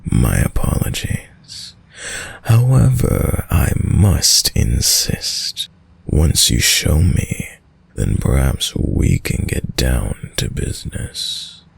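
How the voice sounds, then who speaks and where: Man voicing an extremely deep, gravelly vampire lord. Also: wildly swooping pitch, exaggerated voice. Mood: insistent, seductive. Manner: slow, silky, formal, teasing menace with drawn-out pauses. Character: sadistic, dominant, courtly male vampire lord, mockingly seductive. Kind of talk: intimate audio roleplay addressed to the listener.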